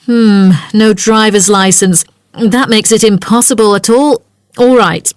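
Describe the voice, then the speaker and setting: A woman playing a helpful, businesslike job agency adviser in a scripted listening-exercise dialogue, explaining things clearly. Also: medium pitch, steady tone, a little slow, dry recording, studio quality, close to an everyday voice.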